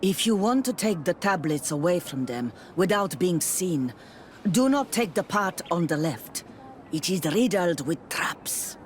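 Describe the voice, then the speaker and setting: A protective, earnest village mother from a video game, guarded and worried. Her words come very slowly and plainly, with faint noise behind them. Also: on the low side, sing-song. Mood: worried, resigned. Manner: deliberate, plain-spoken. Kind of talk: video game dialogue acting.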